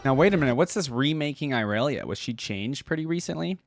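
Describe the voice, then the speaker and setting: A man's voice: medium pitch, animated, quick pace, creaky, faint music behind. A man giving casual reaction commentary as the host of a reaction video.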